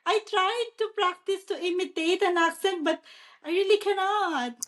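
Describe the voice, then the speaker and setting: A woman with a high, bright voice and a Filipino accent talks as a podcast guest. She giggles often and pauses to search for her words. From Philippines. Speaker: guest comedian. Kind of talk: casual podcast chat.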